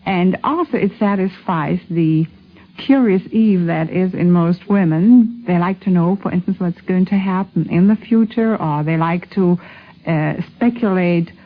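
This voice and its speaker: Low-voiced woman on tinny vintage radio. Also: measured pace. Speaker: interviewed fan. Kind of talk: interview opinion. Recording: thin phone-like 1950s radio recording.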